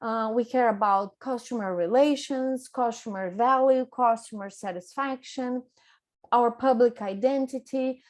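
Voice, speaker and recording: A woman from Brazil with a Brazilian Portuguese accent, a native Portuguese speaker presenting a coaching workshop over a video call, speaking carefully and deliberately and correcting herself now and then. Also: on the high side, a little mellow. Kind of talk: prepared online presentation.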